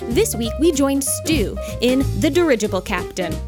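A woman's voice: high voice, big pitch swings, moderate pace. A woman announcing and narrating an audio drama show in a scripted style. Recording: loud music behind the voice.

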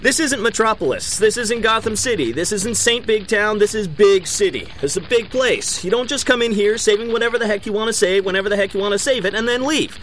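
A defensive city mayor in an audio comedy. His voice sits high for a man as he hedges, repeats himself and blusters in officialese. There is faint noise around him, as at a press conference. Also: lively intonation, fairly quick, few pauses, a bit bright, low-quality recording. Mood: indignant. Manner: hedging officialese, stammering, defensive repetition.

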